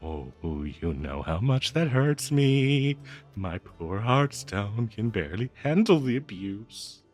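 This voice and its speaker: A man's voice: lowish voice, big pitch swings, a little mellow, faint music behind, full character voice. A man playing a cursed talking dagger, possessive, lovestruck and bloodthirsty, in a melodramatic, cooing audio roleplay addressed to the listener. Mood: cooing, sinister. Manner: melodramatic, cooing, slow drawn-out delivery with manic giggles.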